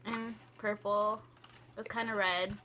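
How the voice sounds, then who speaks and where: Very bright, slow-talking teen girl. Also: medium pitch, level tone, a little hiss or hum. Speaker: guest friend. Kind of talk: casual chat.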